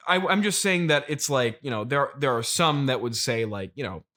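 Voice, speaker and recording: A man's voice: medium pitch, animated, moderate pace, sharp tone. A man interviewing in a casual comedy chat, speaking in a hesitant, diplomatic way.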